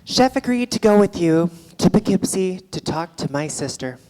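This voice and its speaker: A woman's voice: lowish voice, lively intonation, normal speed, mellow, close to an everyday voice. A woman playing a blunt, practical photographer in a staged play reading, speaking in a dry, matter-of-fact way. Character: blunt, practical, exasperated female photographer and fixer. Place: live on a theatre stage. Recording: reverberant theatre hall.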